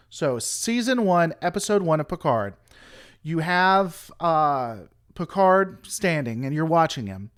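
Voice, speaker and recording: A man hosting a casual livestream, rambling through an opinionated critique and answering chat. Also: a little high. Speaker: livestream host.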